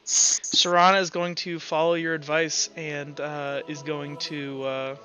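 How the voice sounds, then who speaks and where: A man's voice: fairly high pitch, level tone, measured pace, sharp tone, smooth, soft background music, poor audio. A man talking casually as game master, running a tabletop roleplaying game.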